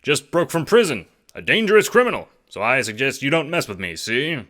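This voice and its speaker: A man's voice: mid-range voice, very animated, brisk, fairly bright tone, pitched above the performer's own voice. A man voicing a dapper fugitive with old-time gangster phrasing in an audio drama. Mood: cocky. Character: dapper, jumpy male fugitive with gangster talk.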